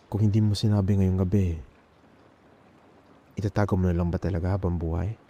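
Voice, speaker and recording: A young man with a Filipino accent performing an intimate ASMR roleplay as an emotional best friend, speaking colloquially and haltingly, with pauses. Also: low voice, steady tone, mellow, dull audio, slight background noise.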